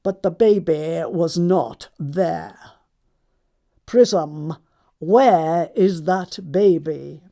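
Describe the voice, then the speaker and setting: Woman acting an imperious, slow-speaking aristocratic English lady. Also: on the low side, gravelly, warm tone, heavily acted. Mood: accusing. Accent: upper-class English. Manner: pompous, commanding, emphatic enunciation. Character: imperious, snobbish aristocratic older lady, haughty and disapproving. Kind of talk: stage comedy audiobook acting.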